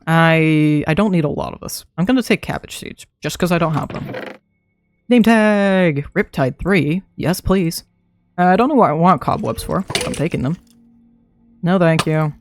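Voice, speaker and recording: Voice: mid-range voice, expressive, measured pace, long pauses, no room sound, faint music behind. A young adult streamer chatting casually to themself while playing a game, laughing often, throat a little dry. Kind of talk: casual live gameplay commentary.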